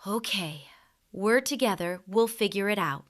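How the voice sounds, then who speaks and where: A woman's voice: mid-range voice, lively intonation, measured pace, natural voice. A woman voicing a clever, brave little girl in a children's fairy tale, earnest and innocent. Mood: reassuring.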